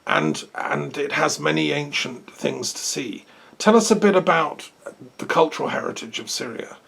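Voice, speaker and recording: A man's voice: fairly high pitch, animated, moderate pace, vocal fry, fairly bright tone, breathy edge. A man hosting an interview, asking rambling, friendly questions. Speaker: host. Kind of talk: casual interview.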